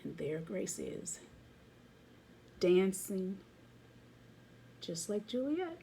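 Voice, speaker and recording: A woman reading a children's picture book aloud as a teacher would, expressively, pausing to comment to young listeners. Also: medium pitch, big pitch swings, vocal fry, dark tone, a little hiss or hum.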